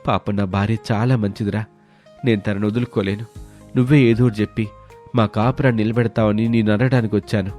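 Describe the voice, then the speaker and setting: A man acts out a troubled husband devoted to his wife in a moral story. He sounds plaintive and confiding, in a lowish voice with music behind. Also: fairly even intonation, brisk, a little mellow, natural voice. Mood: troubled pleading. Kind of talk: dramatised moral story acting.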